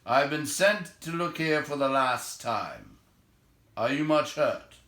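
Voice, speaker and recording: Man doing an urgent, high-pitched declaiming soldier. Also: lively intonation, a little slow, a bit bright, roomy sound. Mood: urgent, relieved. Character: swift, sure male soldier, urgent and helpful.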